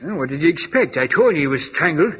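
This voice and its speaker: An actor playing an insistent, bossy police lieutenant with a husky voice, in old-time radio style. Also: male voice, a little high, big pitch swings, fast. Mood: grumbling. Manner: blustery, insistent, colloquial. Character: gruff, excitable, bossy male police lieutenant. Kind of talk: 1940s radio detective acting. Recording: dull old radio recording.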